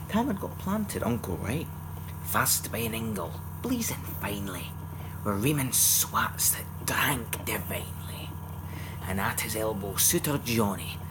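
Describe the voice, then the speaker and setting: Male Scottish poetry reciter. Unhurried, expressive storytelling with wide swings in pitch; noticeable background hum on the recording. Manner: theatrical, expressive storytelling delivery. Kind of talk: dramatic recitation of Scots narrative verse.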